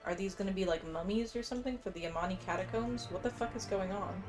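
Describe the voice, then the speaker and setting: A woman's voice: mid-range voice, quick pace. A woman giving casual commentary on a video game playthrough, musing aloud informally as she plays. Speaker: gamer recording a playthrough. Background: game music.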